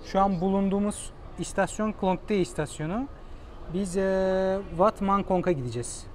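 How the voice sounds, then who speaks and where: A man's voice: high-pitched, normal speed, darkish tone. Man vlogging in a noisy metro station. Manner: chatty, thinking aloud, switching to English with his companion. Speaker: travel vlogger. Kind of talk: casual travel vlog narration. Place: metro station and train, indoors. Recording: noisy public space.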